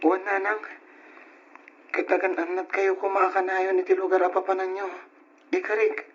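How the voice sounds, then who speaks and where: Radio drama role: protective Ilocano older brother, late teens, light high voice with rasp, dull sound. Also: male voice, level tone, moderate pace, a bit bright, slight background noise. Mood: earnest. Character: earnest nineteen-year-old son, protective older brother.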